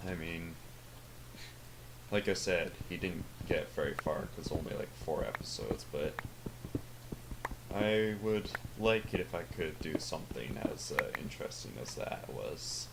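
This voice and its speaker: Teen male gamer, deep low voice, rambling fillers, noisy home recording. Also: animated, measured pace, vocal fry. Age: teens. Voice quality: deep, sounds older than his age. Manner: rambling, hesitant, lots of fillers and self-corrections. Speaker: gaming channel host. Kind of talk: casual gameplay commentary.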